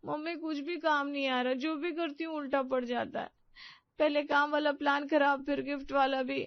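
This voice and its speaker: A woman's voice: high-pitched, fairly even intonation, normal speed, dry recording, highs cut off, pitched above the performer's own voice. A woman voicing an anxious, eager-to-please young daughter-in-law in a story, earnest and plaintive. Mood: dejected.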